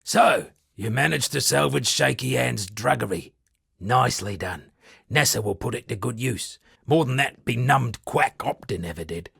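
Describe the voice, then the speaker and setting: A shipwrecked sea captain for a fantasy game, a roguish would-be poet. The voice is heavily creaky and very raspy, swooping expressively through wry, theatrical storytelling. It is recorded close and dry. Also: male voice, mid-range voice, expressive, normal speed, a bit bright, dry recording, full character voice. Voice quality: gravelly, husky. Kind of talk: video game character dialogue.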